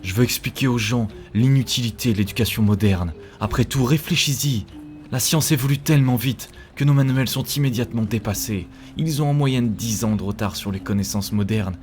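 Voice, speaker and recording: A man's voice: mid-range voice, darkish tone, close to an everyday voice. A man voicing an earnest, rebellious young male student who speaks in a preachy, rhetorical way in a cartoon. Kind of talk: scripted cartoon dialogue. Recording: long hall reverb, music bed.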